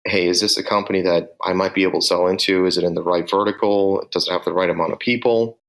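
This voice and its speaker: This is a man with a Boston accent and a very low voice. He talks through how his sales team works, directly and in measured steps, the way a manager answers an interviewer. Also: fairly even intonation, vocal fry, a bit bright. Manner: direct, measured, explains step by step.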